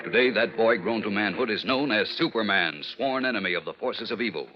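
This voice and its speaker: A man's voice: on the low side, a little fast. A man narrating a 1940s radio adventure in a dramatic, formal announcer style. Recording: muffled vintage radio recording with music.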